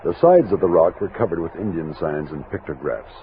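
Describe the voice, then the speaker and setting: Casting: commanding expedition captain, Western radio drama; deep, lively-pitched, measured storyteller, tinny hissy vintage recording. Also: male voice. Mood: measured, reflective. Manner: measured storytelling narration, firm orders. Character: steady commanding army captain leading a frontier expedition. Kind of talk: 1960s Western radio drama acting and narration. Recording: thin lo-fi old radio recording, hiss.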